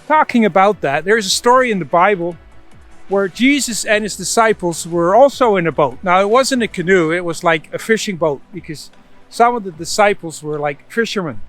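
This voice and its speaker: A man with a high-pitched voice hosts a casual storytelling devotional. He talks in a folksy, conversational manner, often dropping in "you know", over faint music. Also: expressive, moderate pace, a bit bright. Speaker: host, Bible storyteller.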